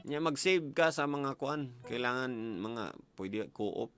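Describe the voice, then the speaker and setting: A man's voice: medium pitch, slow pace. A man with a Filipino accent, a native Cebuano speaker, hosting a casual advice show, asking probing questions and giving advice. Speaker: radio host interviewing callers. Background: background music.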